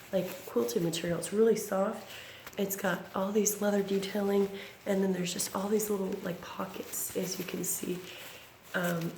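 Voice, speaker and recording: A woman in her thirties, raspy from bronchitis, chatting casually through an unboxing with many ums and self-corrections. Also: mid-range voice. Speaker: vlogger. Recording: echoey room with background noise.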